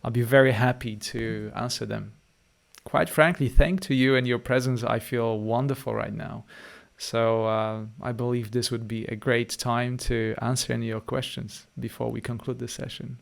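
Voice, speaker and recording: A man's voice: mid-range voice, fairly even intonation, normal speed, creaky. A man with a Polish accent, a meditation teacher leading a guided meditation and a live-stream chat, speaking to listeners in short, direct phrases.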